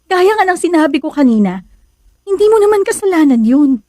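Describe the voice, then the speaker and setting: A woman's voice: very high voice, very animated, brisk, mellow, dry recording, clean studio sound. An actress with a Filipino accent playing a guarded young woman in love in a radio drama, sounding wounded and emotional. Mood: guarded, resigned.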